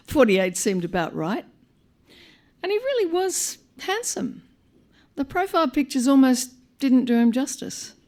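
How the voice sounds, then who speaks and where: A woman's voice: on the high side, darkish tone. Woman reading a wry short story live. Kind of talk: live literary short story reading, expressive comic narration. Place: live on stage at a performance venue.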